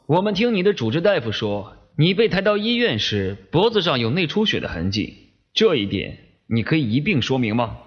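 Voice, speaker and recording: Dull-sounding, very animated police detective played by a man. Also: fairly high pitch, normal speed, slightly rough, heavily acted. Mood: explanatory, pressing. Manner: businesslike, pressing. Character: male police detective, probing, methodical, firm interrogator. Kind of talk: audio drama acting, police interrogation. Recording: slightly dull, lo-fi microphone sound.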